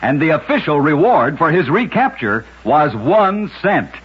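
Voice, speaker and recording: An American man with a very husky voice telling oddities as scripted old-time radio narration, with dramatic punchlines. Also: fairly high pitch, big pitch swings, unhurried. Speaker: radio storyteller, host. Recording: muffled old radio recording.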